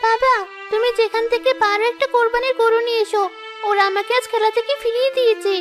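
A woman's voice: very high voice, steady tone, fast, music in the background. A woman voicing a sensitive, earnest young girl from a poor family in a cartoon moral story, with a plaintive manner. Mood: pleading, insistent.

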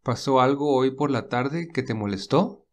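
A man voicing a calm, protective young man. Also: medium pitch, a little slow, close to an everyday voice. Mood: calm caring. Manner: calm, reassuring. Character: gentle, protective young man caring for his companion. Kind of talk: audiobook dialogue reading.

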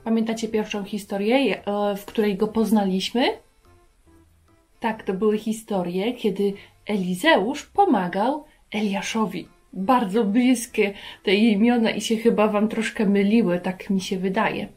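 A woman in her thirties hosting a children's lesson, talking to young viewers in an animated way and asking them questions. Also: medium pitch, measured pace, faint music behind. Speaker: children's Bible lesson host. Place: carpeted room at home.

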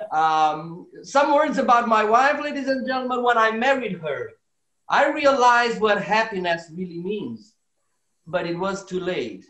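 A middle-aged man from Romania with a Romanian accent, doing deadpan stand-up comedy, chuckling at his own jokes, recorded on a webcam in a small room at home. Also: sits high, slow. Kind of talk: deadpan stand-up comedy and comic song at piano. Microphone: webcam at desk distance. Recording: roomy echo, piano behind.

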